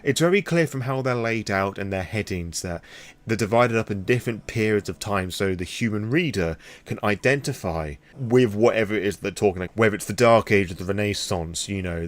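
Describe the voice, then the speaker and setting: Low-pitched British man rambling frankly. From Britain. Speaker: vlogger on tabletop roleplaying lore. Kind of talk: impromptu opinionated vlog monologue.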